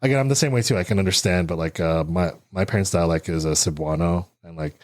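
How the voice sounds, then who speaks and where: Man, podcast host, very deep voice, dry sound, thoughtful, thinking aloud. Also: fast, dry recording. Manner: reflective, thinks aloud with many "you know" and "right?". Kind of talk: casual chat.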